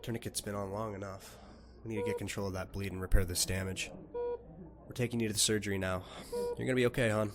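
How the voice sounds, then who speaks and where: A man playing a calm, reassuring surgical team medic in an audio roleplay. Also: lowish voice, quick pace, natural voice. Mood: brisk, reassuring. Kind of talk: ASMR comfort roleplay.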